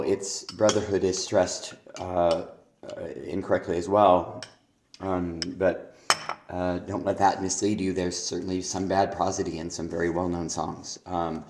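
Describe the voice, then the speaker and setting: Hoarse low-voiced man teaching, echoey room. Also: darkish tone, slight background noise. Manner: hesitant, many ums, speaks lyrics aloud slowly. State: hoarse from a cold, laryngitis. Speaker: songwriting teacher. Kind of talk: spoken feedback on a student's lyrics.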